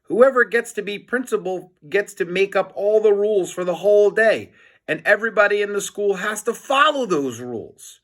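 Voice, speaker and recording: Cheeky storybook schoolboy, played by a man: eager, high voice, very animated, innocent act. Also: normal speed. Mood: excited.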